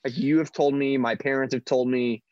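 A man co-hosting a podcast, telling casual stories in a loud, piercing voice with a joking, self-deprecating manner. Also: mid-range voice, fairly even intonation, normal speed, no echo, dull audio. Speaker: podcast co-host. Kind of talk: casual banter and storytelling. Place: online video call.

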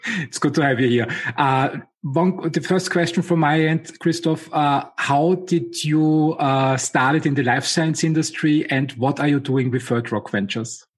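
A man hosting an interview show who asks his questions haltingly, with frequent uh fillers. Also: on the high side, breathy edge. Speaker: podcast host.

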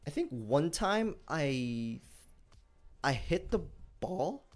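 A man's voice: a little high, drawn-out words, faint music behind. A young man co-hosting a casual podcast chat, telling stories and laughing often. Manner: laughs often, animated storytelling. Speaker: podcast co-host.

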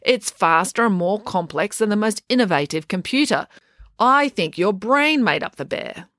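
A woman voicing a know-it-all boy who reasons in a calm, matter-of-fact way. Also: mid-range voice, normal speed, no room sound, studio quality, pitched above the performer's own voice, lightly acted. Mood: reasoning.

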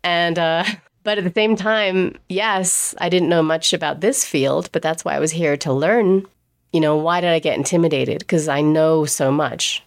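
Low-voiced woman telling her own story. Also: moderate pace. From England. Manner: chatty, laughs often, quotes others in dialogue. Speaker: pastry chef. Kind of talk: personal storytelling monologue.

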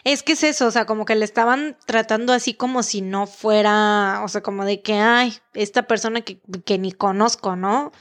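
A woman's voice: mid-range voice, normal speed, few pauses, a bit bright. A Mexican woman co-hosting a podcast, listening with frequent short murmurs and adding blunt, slangy remarks. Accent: Mexican Spanish. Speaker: podcast co-host. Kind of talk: casual chat, listening and reacting.

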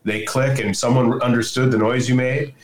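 A man's voice: medium pitch, steady tone, normal speed, crowd noise. An older man, a podcast guest, chatting casually in a folksy, opinionated storytelling way. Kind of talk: casual conversation. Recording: roomy echo.

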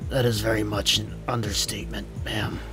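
A man playing a dutiful police captain in an audio drama, deferential and measured in manner. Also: fairly low pitch, flat delivery, lightly acted. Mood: wary. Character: dutiful, composed male police captain serving mobsters. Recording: music and rain under the voice.

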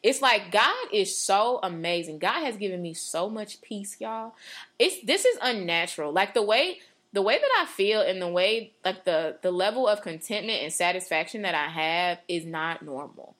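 A woman's voice: medium pitch, lively intonation, normal speed, a bit bright. A woman in her late twenties talking earnestly and at length about her faith on a podcast, with many fillers and restarts. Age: 20s. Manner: earnest, rambling, lots of "like". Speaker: podcast co-host. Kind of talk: casual faith talk.

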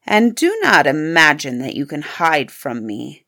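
A woman's voice: low voice, very animated, a little slow, bright, forceful tone, voice pushed down, heavily acted. A woman voicing a frightful, angry male beast in a storybook, stern and commanding in manner. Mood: grim, commanding. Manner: stern, menacing, grim. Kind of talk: storybook character voice.

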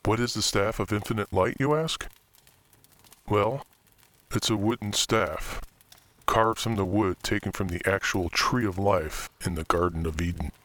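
An actor playing a brooding, theatrical occult collector, narrating a scripted horror tale in a measured way with dramatic pauses. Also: male voice, sits low, fairly bright tone. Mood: grave, mysterious. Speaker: narrator and main character of horror fiction podcast. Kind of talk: scripted first-person horror storytelling.

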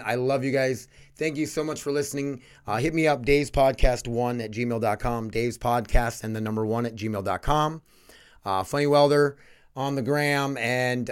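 A man's voice: medium pitch, smooth. A man hosting a casual podcast, rambling and swearing easily as he talks. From North Dakota. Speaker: podcast host.

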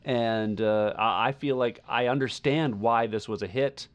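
A man co-hosting a casual discussion podcast, speaking in a tentative, measured and questioning way. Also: medium pitch, clear, resonant tone. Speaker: podcast co-host.

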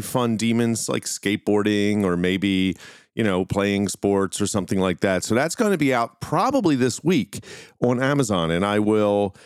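A man's voice: fairly low pitch, clear, resonant tone, dry recording, studio quality. A man hosting a solo podcast, talking casually and informally in a meandering way. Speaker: podcast host.

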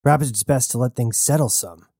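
Worried male crewmate, calm warning tone, near the reader's own voice; narrator doing it. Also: mid-range voice, a little fast, no echo, studio quality, lightly acted. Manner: worried, cautioning. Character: anxious cautious male crewmate.

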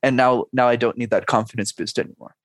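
A man's voice: medium pitch, fairly even intonation, quick pace, no echo. A man in his twenties or thirties, a speech coach, talking energetically in a podcast interview and laying out advice in numbered steps. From Canada.

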